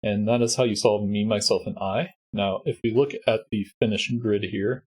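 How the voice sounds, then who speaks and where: A man with a congested, husky voice, calmly walking through a sudoku puzzle step by step as host. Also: fairly low pitch, normal speed. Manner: patient step-by-step explaining. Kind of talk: sudoku walkthrough.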